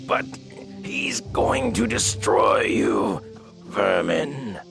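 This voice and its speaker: A menacing, honor-bound robot warrior for a cartoon radio drama. The voice is deeply gravelly and slow, on the low side, with archaic, dramatic phrasing. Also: male voice, wildly swooping pitch, fairly bright tone, faint music behind, heavily acted. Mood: strained, conflicted. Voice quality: gravelly, growling. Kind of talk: animated-series radio drama acting.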